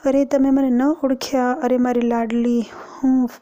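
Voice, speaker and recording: A woman's voice: high voice, level tone, a little slow, mellow, muffled recording. A woman voicing a grieving holy mother who laments her slain son in a mourning recitation. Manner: lamenting, anguished.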